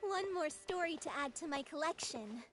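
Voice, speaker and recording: A woman voicing a bright, eager young female video game character. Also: high-pitched, animated, normal speed. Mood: cheerful. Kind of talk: scripted video game dialogue.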